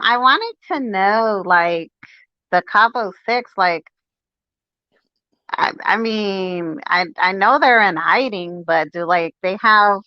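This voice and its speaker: A woman's voice: mid-range voice, bright, forceful tone, clean tone, no room sound, lo-fi sound. A woman from California calling in to a live-stream chat, talking in a hesitant, polite way. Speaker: caller.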